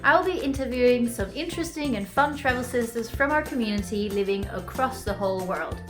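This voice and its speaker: A woman hosting a casual travel interview series over a video call, upbeat and quick to laugh. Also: on the high side, music in the background.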